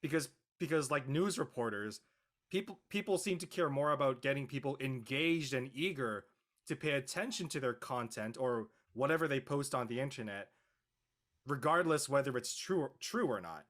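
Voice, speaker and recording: A man's voice: medium pitch, expressive, normal speed, fairly bright tone. A man speaking casually as a panelist in a post-show discussion. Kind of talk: casual chat.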